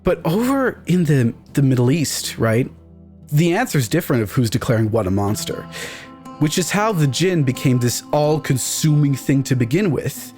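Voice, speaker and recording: A man's voice: a little high, sing-song, moderate pace, a little mellow, soft background music. Emphatic man giving candid opinions. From Canada. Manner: emphatic, candid, swears casually. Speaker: podcast guest, game writer. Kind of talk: opinionated discussion of fantasy games.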